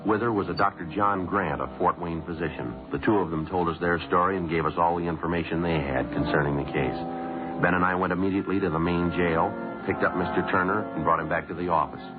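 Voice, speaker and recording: Police sergeant narrator, played by a man: low American voice, dry and deadpan, music behind, vintage radio crime drama. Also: fast talker, narrow, tinny audio, natural voice. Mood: stoic, factual. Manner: deadpan, factual, clipped. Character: male police sergeant narrating his case, dry and stoic. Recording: old band-limited radio broadcast.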